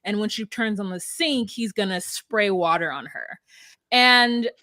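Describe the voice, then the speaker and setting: A woman's voice: mid-range voice, unhurried, bright, forceful tone, no room sound. Chatty laughing woman podcast host. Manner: chatty, laughs often, rambling asides. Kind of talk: casual chat.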